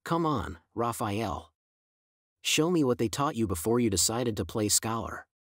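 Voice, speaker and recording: A man voicing a menacing young male hitman who speaks in a low, taunting, insinuating way. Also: lively intonation, measured pace, no room sound, clean studio sound.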